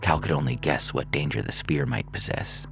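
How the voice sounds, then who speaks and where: A man's voice: a bass voice, fairly even intonation, normal speed, vocal fry, over loud music. A man narrating a scripted science fiction story as a podcast host, reading in an audiobook style.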